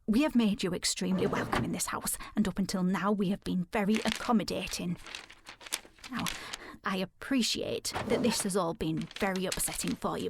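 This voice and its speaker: A woman's voice: mid-range voice, noisy background, lightly acted. A woman playing a prim, disapproving housekeeper in a period audio drama, stern and proper. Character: prim, disapproving female housekeeper, protective of her master.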